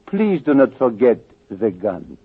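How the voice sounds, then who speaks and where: An actor playing an eager, theatrical villain in old-time radio drama, formal and commanding in his excitable delivery. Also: medium pitch, measured pace. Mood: gleeful command. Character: eager, greedy, theatrical male villain giving orders. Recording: tinny, noisy old radio recording.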